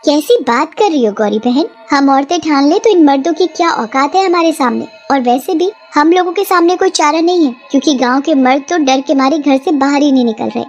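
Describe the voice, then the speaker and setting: A woman plays a bold, emotional young village sister in an animated tale. Her voice is very high and dramatic, with soft music underneath. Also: a little fast, few pauses. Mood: rallying, defiant. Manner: earnest, dramatic delivery.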